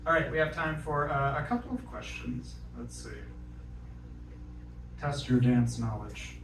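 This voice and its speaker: A man hosting a live event, moderating casually in a relaxed, halting way. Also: mid-range voice. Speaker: event host. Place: bar venue stage, roomy. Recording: echoey venue from far off, noisy.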